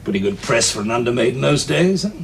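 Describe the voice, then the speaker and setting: An actor playing a genial, measured British building manager, conversational and understated, in a 1970s television drama. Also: male voice, medium pitch, animated, gravelly. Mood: grave, intrigued. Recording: noisy 1970s television soundtrack.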